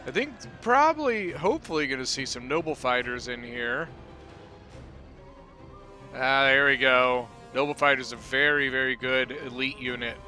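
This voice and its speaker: A man hosting his gaming channel, giving relaxed, chatty commentary on a battle replay, peppered with "you know" and "uh". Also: medium pitch, normal speed, faint music behind.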